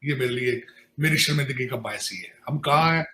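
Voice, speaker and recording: A man's voice: mid-range voice, fairly quick, bright, forceful tone. A man with a Pakistani accent in an opinionated political discussion, speaking emphatically and repeating phrases. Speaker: political commentator on a talk show. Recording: roomy, echoing sound.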